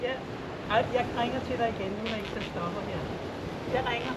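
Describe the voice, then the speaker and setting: A woman with a Danish accent chatting casually outdoors, warm and helpful, laughing often. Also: a little high, fast. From Denmark. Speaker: local resident met on the road. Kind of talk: casual chat. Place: outdoors by a small seaside town road. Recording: muffled outdoor audio with traffic noise.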